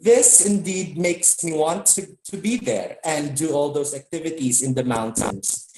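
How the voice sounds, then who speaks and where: A host reads scripted links and hands over to a reporter. The voice sits mid-range. The room adds a clear echo. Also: medium pitch, measured pace, mellow, slight background noise. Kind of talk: live show hosting. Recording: echoey room.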